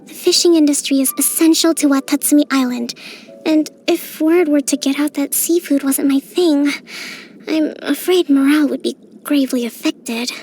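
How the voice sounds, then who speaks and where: High-voiced calm young priestess strategist, gentle and a lil playful, faint music behind. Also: female voice, moderate pace. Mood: cheerful. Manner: gentle, composed, softly playful. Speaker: game character. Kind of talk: game voice lines.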